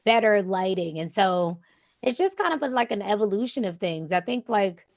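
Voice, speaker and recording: A woman DJ and streamer with a slightly raspy voice, talking in a calm, flowing way as a guest in a casual interview. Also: medium pitch, moderate pace. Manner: relaxed, flowing, says "you know what I'm saying" often.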